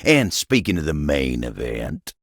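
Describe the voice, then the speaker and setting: Gravelly, slow-drawling Southern cowboy game character. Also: male voice, a bass voice, big pitch swings, dry recording, clean studio sound, full character voice. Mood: genial, folksy. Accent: American Southern drawl. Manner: folksy, easygoing drawl with frequent chuckles. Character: folksy, humble, hardworking cowboy coffee table, genial older-sounding male. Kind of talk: video game character dialogue.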